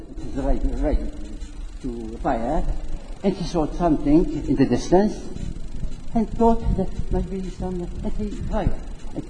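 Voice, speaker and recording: A man speaks in a very dark, mellow voice, giving a public talk. He is measured and repeats words for emphasis. The sound carries a long hall reverb. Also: fairly high pitch, slight background noise. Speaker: speaker on a panel. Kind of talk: unscripted public talk with a moral example. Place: large hall.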